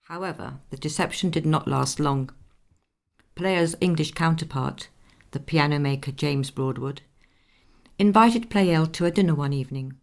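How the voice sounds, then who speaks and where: An actress playing a poised woman recounting a life story in measured, formal radio play narration. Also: deep voice, vocal fry. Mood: composed, narrating.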